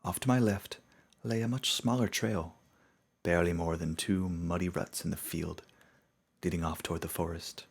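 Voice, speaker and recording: A man giving a dramatic, scripted narration of a story in a solemn, measured storytelling manner. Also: sits low, steady tone, vocal fry, slightly rough.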